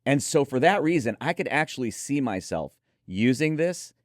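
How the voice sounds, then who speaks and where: A man's voice: medium pitch, very animated, normal speed, dry recording, clean studio sound. A man co-hosting a conversational gear review, giving his opinions with enthusiasm. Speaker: audio gear reviewer and co-host.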